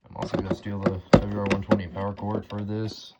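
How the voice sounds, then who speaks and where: Low-voiced man casually showing off gadgets. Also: monotone, brisk, heavy background noise. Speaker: host showing a weather radio collection. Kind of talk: casual show-and-tell narration.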